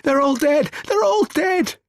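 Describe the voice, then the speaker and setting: A man voicing a distraught teenage son in an audiobook, horrified, shouting and tearful. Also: extremely high pitch, expressive, a little slow, voice pushed up, full character voice. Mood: hysterical grief. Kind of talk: audiobook character dialogue.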